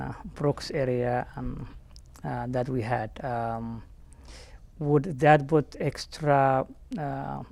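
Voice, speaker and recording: A man hosting a conversational interview, putting his questions in a halting, rambling way. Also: medium pitch, creaky, a little mellow, no echo. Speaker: interview host.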